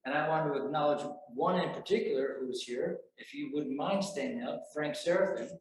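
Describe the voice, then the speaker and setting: A man's voice: on the high side, fairly quick, slight background noise. A man in his seventies, an alumnus photographer giving a chatty, self-deprecating speech to an audience at a university event. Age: 70s. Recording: very echoey hall, mic far off.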